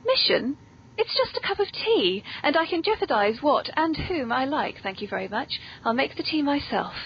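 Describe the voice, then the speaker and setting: A woman's voice: high-pitched, sing-song, moderate pace. A British woman hosting a podcast in a genteel, playfully theatrical and very polite manner. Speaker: podcast host. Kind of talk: whimsical scripted hosting and genteel chat. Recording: dull lo-fi recording, background noise.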